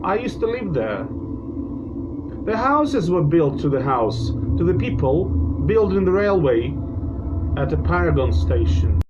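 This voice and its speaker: A man telling spooky bedtime ghost stories in a halting, drawn-out way, with asides to his listeners. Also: a little high, very animated. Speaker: storyteller, host of a bedtime ghost-story show. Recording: dull lo-fi recording with music behind. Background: prominent background music.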